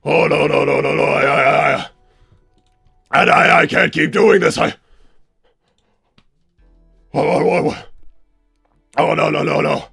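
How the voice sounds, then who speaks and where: A man's voice: on the high side, level tone, measured pace, creaky, long pauses, a bit bright, slightly rough, faint music behind, full character voice. A man playing an exasperated, sarcastic villainous scientist, blustering and anxious in a livestream roleplay. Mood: flustered. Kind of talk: improvised character roleplay on a livestream.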